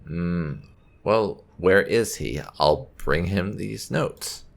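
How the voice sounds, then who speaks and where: A man's voice: low-pitched, drawn-out words, gravelly. A man hosting a casual roleplay let's-play, musing and hesitating as he talks. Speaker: gaming channel host.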